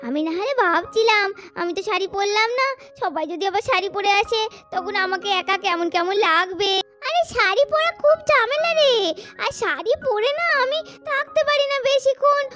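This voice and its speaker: A woman's voice: extremely high pitch, expressive, normal speed, pushed and bright, exaggerated voice. A woman voicing a little schoolgirl doll in a children's cartoon, chatty and bickering in a childish, colloquial way. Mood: gossipy, catty. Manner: childish, colloquial scolding and teasing.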